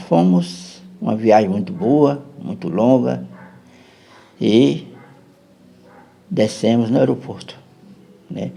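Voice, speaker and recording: Brazilian guy rambling thru a story on a podcast, keeps saying né, voice goes up and down a lot, kinda rough. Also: mid-range voice, warm tone. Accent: Brazilian Portuguese. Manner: chatty, keeps checking in with "né?". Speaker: podcast guest.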